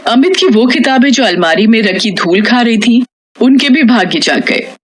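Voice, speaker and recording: A woman's voice: a little high, expressive, moderate pace, slight background noise. A woman storyteller reading a scripted moral tale in narration style.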